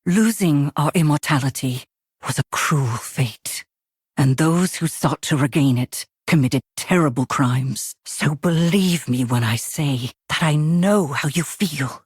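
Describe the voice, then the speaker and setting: An actress playing a stern, regal night elf ruler in a video game, stately and authoritative, with a husky voice. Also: female voice, low voice, a little slow, no room sound, studio quality, full character voice. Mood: solemn empathy. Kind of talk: dramatic video game voice acting.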